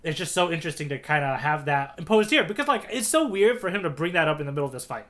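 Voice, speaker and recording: A man's voice: a little high, lively intonation, fast talker, a bit bright. A man co-hosting a podcast in casual discussion, often checking in with a questioning "right?" as he makes his points. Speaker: podcast co-host.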